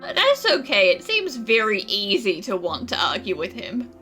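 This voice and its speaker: A woman's voice: mid-range voice, wildly swooping pitch, measured pace, over music. A woman playing a sassy, easygoing young woman in a video game, with a frank, slangy, teasing manner. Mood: wry, unimpressed.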